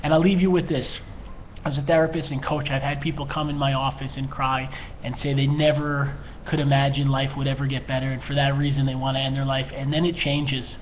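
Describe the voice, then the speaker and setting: A man's voice: mid-range voice, little pitch movement, brisk. Earnest, slightly tearful man, dull lo-fi sound. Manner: earnest, heartfelt, pleading. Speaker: mental health educator, therapist and coach. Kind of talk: earnest personal talk to camera. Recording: dull lo-fi sound, faint background noise.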